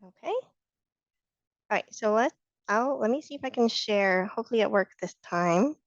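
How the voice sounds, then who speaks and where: A woman, hoarse from a cold, teaching a sign language class over a video call and giving patient, encouraging feedback. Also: medium pitch, animated, moderate pace, no room sound. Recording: dull, dry video call audio.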